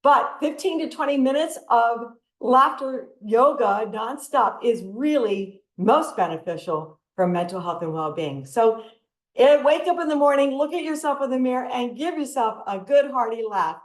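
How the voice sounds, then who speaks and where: A woman guides breathing and arm movements, often breaking into bursts of laughter. Her voice sits on the higher side. She speaks in an echoey room over a video call. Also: expressive, moderate pace. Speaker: laughter yoga instructor. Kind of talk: guided exercise instruction. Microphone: computer mic on a video call.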